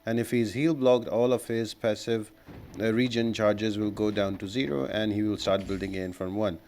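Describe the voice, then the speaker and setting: A man's voice: fairly low pitch, steady tone, normal speed, a little mellow, a little hiss or hum. A man giving instructional gameplay commentary, explaining tactics step by step as a guide creator.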